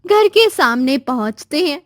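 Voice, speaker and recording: A woman's voice: sits high, big pitch swings, measured pace. A woman giving scripted storybook narration as the narrator of a cartoon tale.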